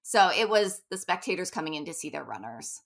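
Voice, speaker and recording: Bright-voiced woman running coach. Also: on the low side, very animated, creaky. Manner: chatty, upbeat, quick asides with laughs. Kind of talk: casual interview chat.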